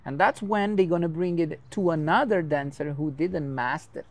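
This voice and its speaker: A man's voice: a little high, moderate pace. A man, a dance teacher whose first language is a Portuguese creole, giving long thoughtful interview answers in English and explaining with everyday comparisons.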